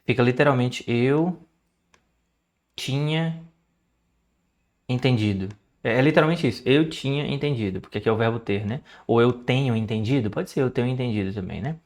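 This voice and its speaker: A young Brazilian man with a Brazilian Portuguese accent talks through his study session in a loose, chatty way. He often stops and restarts with fillers as he thinks aloud. His voice sits in an ordinary middle pitch. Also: normal speed, darkish tone. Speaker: language learner and channel host. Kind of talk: casual screen-share study vlog.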